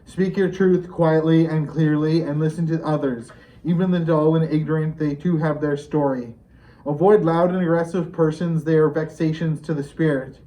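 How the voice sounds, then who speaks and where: A man's voice: on the high side, fairly even intonation, normal speed, mellow, rough recording. A man reciting a prose poem aloud as a reader at a poetry event.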